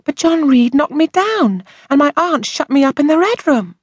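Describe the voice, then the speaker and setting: A woman's voice: high-pitched, big pitch swings, moderate pace, no room sound, pitched above the performer's own voice. A woman voicing an unhappy, earnest orphan girl in a storybook reading, with a plaintive manner. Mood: aggrieved.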